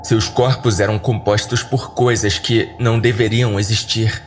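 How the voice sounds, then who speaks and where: A man's voice: lowish voice, flat delivery, a little slow. A man with a Brazilian accent narrating a scripted horror story dramatically for a podcast. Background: background music.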